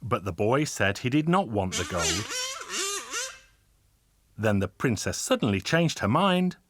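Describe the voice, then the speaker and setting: A man narrating a folk tale in a measured, expressive storybook style over instrumental music. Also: on the low side, background noise.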